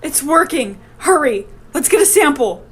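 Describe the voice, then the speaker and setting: A woman's voice: sits high, big pitch swings, moderate pace, fairly bright tone, slight background noise. A woman acting as a frantic female survivor in a horror audio drama, melodramatic and panicked. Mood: urgent.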